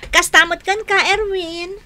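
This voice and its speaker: A woman's voice: very high voice, fairly even intonation, unhurried, pushed and bright, a little hiss or hum, exaggerated voice. A woman playing a perky, teasing young student friend in a radio drama, with a Filipino accent. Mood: playful, excited. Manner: teasing, playful.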